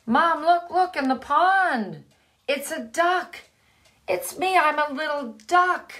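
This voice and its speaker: A woman voicing a small duckling who insists he is a chick, in a high pleading childlike voice for a storybook read-aloud. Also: very animated, slow pace, a bit bright, full character voice. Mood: excited.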